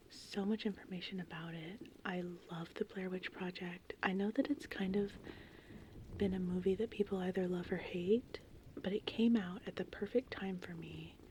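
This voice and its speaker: A woman in her thirties whispering softly and breathily in an informal, rambling ASMR style. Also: mid-range voice, a little hiss or hum, poor audio. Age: 30s. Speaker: ASMR channel host. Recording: steady rain and thunderstorm ambience behind. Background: gentle rain and thunder.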